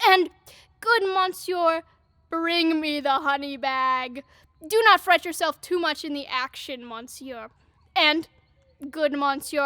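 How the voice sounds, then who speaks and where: A very high voice, slow and close, for a pompous donkey-man acted by a woman. He is silly and self-important, hesitating grandly as he gives orders in a Shakespearean audio play. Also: bright, forceful tone, no echo, heavily acted. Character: pompous, silly male weaver with a donkey's head. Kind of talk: Shakespearean audio drama acting.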